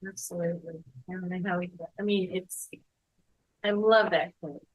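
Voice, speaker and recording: Husky woman on the board gushing thanks, kinda halting. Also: on the low side, steady tone, lo-fi sound. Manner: effusive, halting. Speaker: board member. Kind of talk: impromptu remarks.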